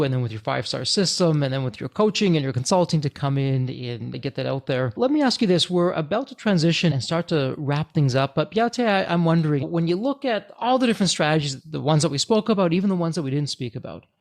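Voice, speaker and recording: A man's voice: fairly high pitch, animated, fast, hardly any pauses. A man hosting a podcast interview who frames his questions in an upbeat, theatrical way. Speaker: podcast host.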